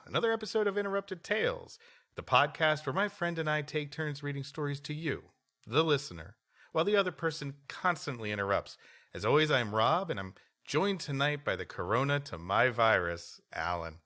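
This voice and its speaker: A man's voice: on the high side, big pitch swings, normal speed, pushed and bright. A man co-hosting a live podcast, bantering and reading stories aloud in a mock-announcer manner. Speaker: podcast co-host.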